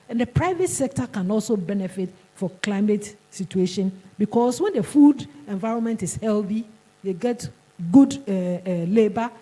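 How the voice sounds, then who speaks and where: Ghanaian woman, conference speaker; mellow, husky, fairly high voice, emphatic with frequent restarts. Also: poor audio. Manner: emphatic, repeats words and restarts. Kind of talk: conference presentation. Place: conference hall.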